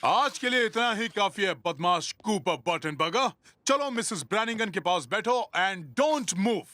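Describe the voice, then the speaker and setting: A man's voice: high-pitched, expressive, a little slow, bright, forceful tone, exaggerated voice. A man in a Hindi cartoon dub playing a fussy, exasperated know-it-all who corrects others, mixing in English words. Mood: stern. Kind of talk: Hindi-dubbed cartoon acting.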